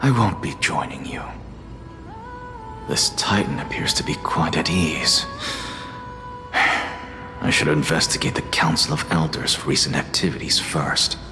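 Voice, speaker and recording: An actor playing a sardonic, aloof professor in a video game, with dry, clipped, disdainful formal speech. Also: male voice, very deep voice, a little slow, over music. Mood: dry, composed. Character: sardonic, aloof male scholar professor, curt and proud. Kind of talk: scripted video game voice acting.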